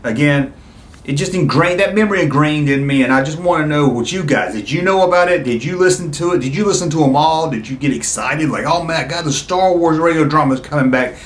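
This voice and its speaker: A man's voice: fairly high pitch, brisk. A middle-aged man hosting a casual chat for viewers, reminiscing in a rambling, nostalgic way with frequent asides. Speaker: host of a fan video channel. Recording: roomy echo, clear background noise.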